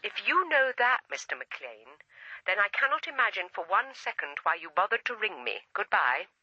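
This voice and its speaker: Suspicious haughty housekeeper, English accent, very sharp tone, clipped, band-limited phone sound, radio play. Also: female voice, medium pitch, big pitch swings, moderate pace, husky, no room sound, exaggerated voice. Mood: frosty, suspicious. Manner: clipped, icily formal. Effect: telephone filter. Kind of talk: radio drama acting. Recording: thin, muffled telephone line.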